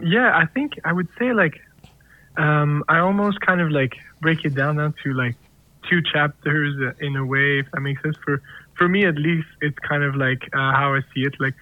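A man's voice: fairly high pitch, normal speed, dry recording. French-native man on a tinny phone line. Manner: rambling, many fillers and restarts. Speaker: singer-songwriter guest. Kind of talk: casual interview answers.

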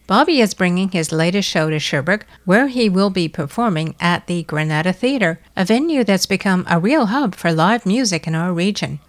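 A woman radio host who introduces her guest from a script and then asks him questions. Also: on the low side. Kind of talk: interview hosting.

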